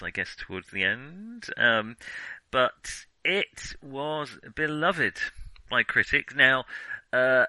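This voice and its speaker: A man with a very bright, sharp-edged voice chats about films as a podcast co-host. He stops, restarts and hesitates often, with a dry room sound and a self-mocking, joking tone. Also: medium pitch, no room sound, rough recording. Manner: halting, self-interrupting, hesitant phrasing. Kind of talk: casual film discussion.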